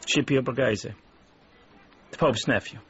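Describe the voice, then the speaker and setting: A man's voice: mid-range voice, a little slow, fairly bright tone, husky, exaggerated voice. An actor playing a worldly, cynical man in a radio drama, talking in a terse, knowing, pointed way. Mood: cynical, confiding. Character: worldly, cynical man who knows the painter's secrets. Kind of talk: naturalistic radio drama dialogue. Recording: slightly dull, faint background ambience.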